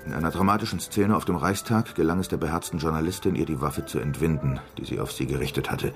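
An actor in a radio drama playing a male reporter who reads out a sober, measured, declamatory exposé. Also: very deep voice, faint music behind. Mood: sober, accusatory. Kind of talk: scripted narration in a radio drama.

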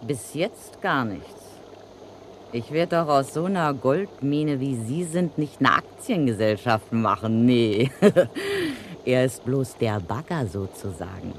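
A woman's voice: very deep voice, sing-song, slow pace, slight background noise, heavily acted. An actress playing a brazen, street-wise woman blackmailer from the underworld in a German radio crime play, with cheeky, slangy, taunting delivery. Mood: smug, threatening.

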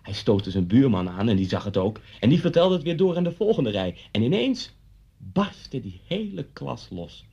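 Radio drama casting: a brooding grown-up ex-pupil, husky, very expressive, pointed storyteller, muffled lo-fi recording. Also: male voice, mid-range voice, moderate pace, full character voice. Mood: insistent, vivid. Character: intense, brooding former pupil confronting his old schoolmaster. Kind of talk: Dutch radio play dialogue, storytelling. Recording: dull lo-fi radio play recording.

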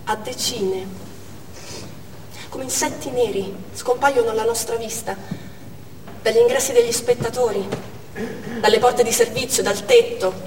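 An actress playing an anguished, urgent woman doctor in a dramatic stage monologue, speaking intensely and deliberately in short phrases. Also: a little high, lightly acted. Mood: hushed, tense. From Armenia (the character). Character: Armenian woman doctor recounting a theatre siege, anguished, urgent. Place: indoor theatre stage. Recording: echoey theatre hall, distant mic, faint noise.

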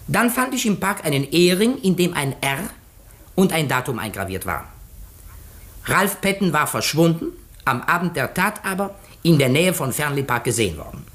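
Radio mystery role: shrewd, courteous detective, self-assured and theatrical, with strongly swooping, deliberate phrasing. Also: male voice, a little high. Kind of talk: 1950s German radio drama.

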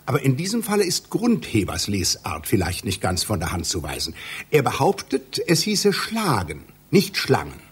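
Very husky, pompous scholar. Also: male voice, medium pitch, huge pitch swings, normal speed, exaggerated voice. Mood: pedantic. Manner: pompous, fussy, lecturing, declamatory. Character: obsessive pedantic male scholar editing an obscure epic. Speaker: literary scholar with a doctorate. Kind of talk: satirical German radio play dialogue.

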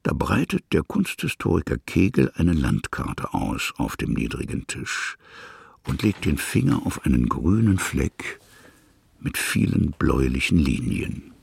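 Male literary narrator, heavy vocal fry, lively intonation, deliberate phrasing, dry studio sound. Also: no echo. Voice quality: very deep, gravelly, husky. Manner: expressive, short deliberate phrases.

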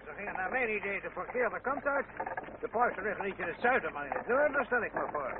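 A man's voice: high-pitched, lively intonation, fast talker. An actor playing an opinionated male travelling companion in a radio play. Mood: reasoning. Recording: noisy, tinny, distant old radio recording.

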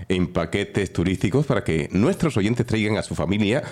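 A man co-hosting a morning radio show, in a lively, laughing promotional manner. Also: lowish voice, moderate pace. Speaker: radio co-host.

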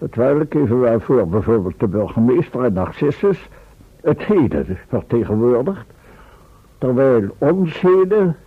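A man's voice: medium pitch, husky, exaggerated voice. A man playing an indignant, self-important professor and inventor in a radio play, blustering and arguing. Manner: blustering, argumentative. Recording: dull old radio recording.

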